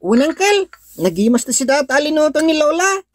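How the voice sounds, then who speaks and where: A woman plays a sweet little boy in an Ilocano drama. The voice is high and eager, with an Ilocano accent, over faint noise of clinking dishes. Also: sing-song, fairly quick. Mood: sweet, chatty. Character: sweet, eager little boy who misses his father. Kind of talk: Ilocano audio drama acting.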